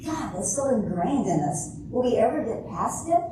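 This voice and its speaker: A woman's voice: fairly high pitch, sing-song, normal speed. An older woman playing a disillusioned, wry Cinderella in an amateur stage reading, with a dry, sardonic delivery. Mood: resigned. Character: jaded, wry grown-up Cinderella, disillusioned with romance. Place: echoey room at a retirement community event. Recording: very echoey room, distant mic, hissy noise.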